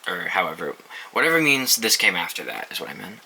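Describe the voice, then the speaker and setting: A man's voice: low voice, sing-song, brisk, creaky, pushed and bright, slight background noise, rough recording. A young man casually reviewing his collection of weather radios, offhand and swearing now and then, with many ums and restarts. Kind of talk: casual show-and-tell review.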